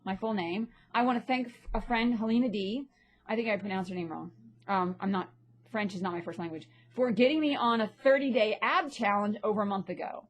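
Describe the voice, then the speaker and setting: A woman talking bluntly and indignantly in a personal vlog, sounding a little stuffy from a sinus infection. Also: a little high. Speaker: vlogger and actress. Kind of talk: ranting personal vlog monologue.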